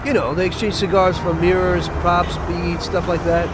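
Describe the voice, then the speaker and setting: An actor playing a cautious, skeptical American mobster in a video game, blunt and sardonic. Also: male voice, high-pitched, level tone, normal speed, heavy background noise, close to an everyday voice. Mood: curious. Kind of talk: video game cutscene acting.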